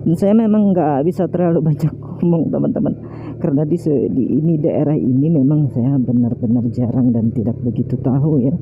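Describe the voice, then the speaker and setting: A man's voice: a little high, brisk. A man giving casual, chatty commentary to his viewers while riding a motorbike on a rural road. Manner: chatty, often adds "teman-teman" and "ya". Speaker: motovlogger. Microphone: helmet or bike-mounted camera mic. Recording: tinny narrow sound with loud road and wind noise. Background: traffic and engine noise.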